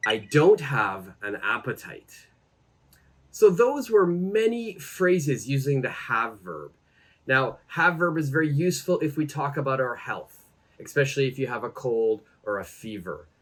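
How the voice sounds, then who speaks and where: A man in his thirties teaching English to camera, seated in a plain room, saying each phrase slowly and then repeating it. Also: medium pitch, a bit bright. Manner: slow and deliberate, repeating each phrase twice. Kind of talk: slow, clear English lesson to camera. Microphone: camera framed at chest height, seated.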